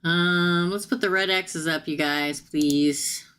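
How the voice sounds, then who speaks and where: A woman giving a chatty live-stream tarot reading, talking straight to her viewers, tired, with her voice starting to go hoarse. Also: lowish voice, steady tone, slow, a bit bright, a little hiss or hum. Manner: chatty, addresses viewers directly. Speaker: tarot reader.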